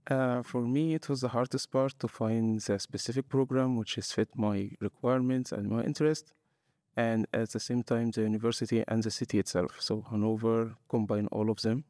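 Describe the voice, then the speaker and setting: A man's voice: medium pitch, darkish tone, dry recording, clean studio sound. A young man from Egypt, a master's student guest earnestly explaining his choices step by step in a podcast interview. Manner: earnest, explains step by step in non-native English.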